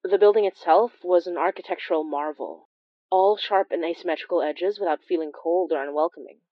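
A woman playing a wry, observant immigrant healer who narrates a horror audio drama in a confiding, measured way with dramatic pauses. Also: fairly low pitch, no echo, dull audio, close to an everyday voice.

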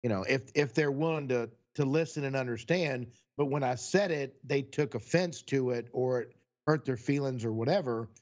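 A man's voice: mid-range voice, normal speed, a little mellow. Earnest guy on a podcast giving relationship advice, a bit muffled and lo-fi. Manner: earnest, gives advice to listeners. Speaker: podcast co-host. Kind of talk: casual chat. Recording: slightly dull, lo-fi sound.

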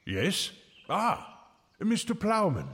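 Expressive, kindly medieval friar. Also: male voice, high voice, slow, full character voice. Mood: gentle, concerned. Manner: gentle, earnest, old-fashioned formal speech. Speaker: voice actor. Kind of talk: audio drama acting. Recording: echoey hall reverb with music.

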